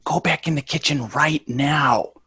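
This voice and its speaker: A man's voice: a little high, measured pace, sharp tone, slightly rough, no room sound, studio quality, exaggerated voice. A man playing a bratty, exasperated young boy in a sitcom table read, brash and sarcastic. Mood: bossy, annoyed.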